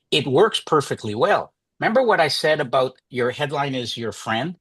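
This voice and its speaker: Male workshop presenter, slightly husky, dry close sound, chatty teacher with lots of "you know" and "right?". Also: medium pitch, fairly bright tone, dry recording. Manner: chatty, many fillers and restarts, asks "right?".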